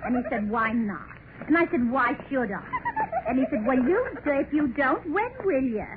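Brief: gossipy young girl, high and very animated, tinny thirties radio serial sound. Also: normal speed, heavy background noise. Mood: giggly, gossipy. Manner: gushing, gossipy, girlish. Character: chattering, flighty young daughter, imagined by her mother. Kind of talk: 1930s radio drama acting. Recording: tinny 1930s radio broadcast with music.

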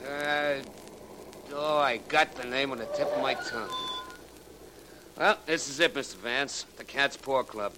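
A man's voice: medium pitch, unhurried, pauses often, pushed and bright. A man playing a chatty, nosy city cab driver in 1940s radio drama style, with slangy, familiar talk. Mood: chatty, curious. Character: folksy, talkative, nosy male New York cab driver. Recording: noisy vintage 1940s radio recording, street sounds.

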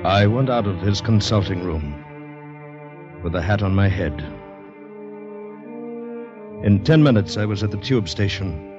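An actor playing an uneasy, haunted young man in a 1940s radio drama, halting and grave. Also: deep voice. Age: young adult. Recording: dull 1940s radio recording.

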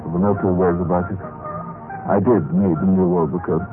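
An actor playing a timid, apologetic dead government clerk who tells his own story haltingly and deferentially in a radio drama. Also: sits low, fairly even intonation, lightly acted. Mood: wry, resigned. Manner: hesitant, stammering, deferential. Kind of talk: radio drama acting, first-person storytelling. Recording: muffled old radio recording with music.

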